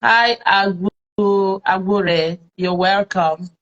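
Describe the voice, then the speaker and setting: A woman's voice: medium pitch, extremely slow, fairly bright tone, highs cut off, a little hiss or hum. A Nigerian woman hosting a live-streamed talk show, chatting with followers and leaning on plenty of fillers. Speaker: host.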